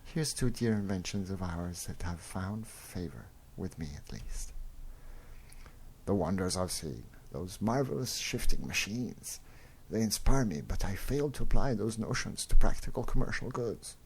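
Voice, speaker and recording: A man's voice: deep voice, lively intonation, measured pace. A man voicing an earnest, self-doubting Victorian inventor in a comic-book reading. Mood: wistful. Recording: noticeable background noise.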